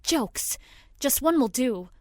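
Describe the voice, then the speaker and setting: An actress playing an earnest young woman in an audio drama, urgent and expressive as she searches on behalf of her grandfather. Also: sits high, slow, dry recording, clean studio sound. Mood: pleading, urgent. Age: young adult. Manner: talks to herself, gasping, urgent pleading.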